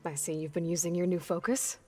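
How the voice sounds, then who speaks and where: A guarded young heroine acted by a woman speaks in a slightly low voice. She is level-headed, dry and practical, in a natural video game style, with faint music behind her. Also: steady tone, fairly quick, dark tone, lightly acted. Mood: calm, reassuring.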